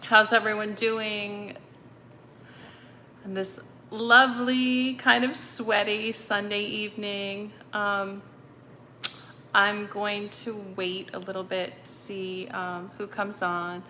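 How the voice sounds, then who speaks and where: Brief: woman, slight Boston accent, home livestream host, unhurried and rambling, faint room hiss. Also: mid-range voice, flat delivery, pushed and bright. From North Andover, Massachusetts. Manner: chatty, rambling, many ums and self-corrections. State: hot and sweaty. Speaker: medium hosting a livestream. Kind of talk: casual livestream chat with viewers. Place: apartment with broken air conditioning.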